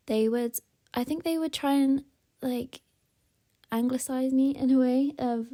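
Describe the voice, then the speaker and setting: Soft-spoken, reflective young woman. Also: on the high side, creaky. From South Wales. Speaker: interviewee. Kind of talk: recorded interview testimony.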